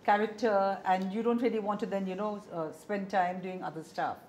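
A woman's voice: medium pitch, steady tone, moderate pace, a little mellow, slight background noise. A woman in her fifties from India, interviewing a guest in a small indoor room and asking long, meandering questions. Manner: long rambling questions with fillers.

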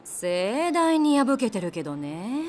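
High lively voice, brusque bossy snack-bar mama, audio drama, faint music behind. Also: female voice, slow pace. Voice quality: brusque, sharp-tongued. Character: brash flashy snack-bar mama, bossy adult woman.

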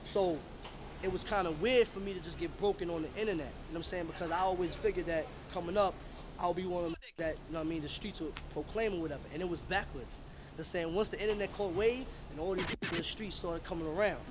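A man's voice: sits high, fast, noisy background. A man, a rapper, talking emphatically in street slang and repeating himself for emphasis. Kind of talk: casual interview.

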